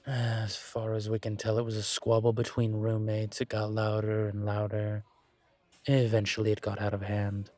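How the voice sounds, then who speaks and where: A man acts out a composed incubus investigator for a roleplay audio drama. His voice sits fairly low and stays very even, with unhurried, deliberate phrasing. He is politely self-assured and dryly wry, recorded close and dry. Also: dry recording, close to an everyday voice. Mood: grave, explaining. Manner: measured, deliberate, wry, conversational. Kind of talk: scripted one-sided roleplay audio drama.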